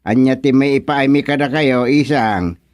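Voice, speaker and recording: A man acting a patient but firm village official in a radio comedy drama, with a Filipino accent and drawn-out hums. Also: mid-range voice, a little mellow, dry recording, natural voice. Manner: hemming, drawn-out acknowledgements.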